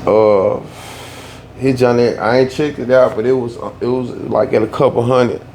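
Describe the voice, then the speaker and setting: A man's voice: mid-range voice, steady tone, moderate pace, darkish tone. A man ranting casually in a loose, slangy way, often repeating himself for emphasis. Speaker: YouTube storyteller. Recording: echoey room, faint background hum.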